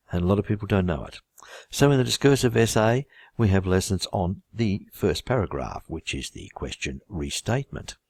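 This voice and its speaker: An older Australian man with a husky voice introduces a writing course in a relaxed, conversational way, with self-deprecating humor. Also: deep voice, no echo, studio quality. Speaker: IELTS writing teacher.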